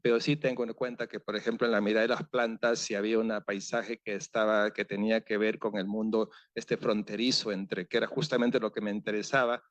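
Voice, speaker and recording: A man's voice: on the low side, little pitch movement, brisk, few pauses, no echo. A novelist on a seminar panel, a man thinking aloud with many fillers and restarts. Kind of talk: panel discussion.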